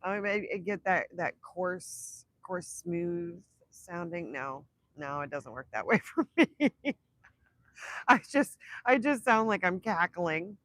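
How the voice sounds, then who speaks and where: A young woman with a raspy voice from illness, chatting casually on a livestream, rambling and laughing between thoughts. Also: fairly low pitch, animated, slow pace, fairly bright tone, no room sound. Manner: rambling, self-interrupting, laughs often. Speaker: livestream host. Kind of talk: casual live chat with viewers.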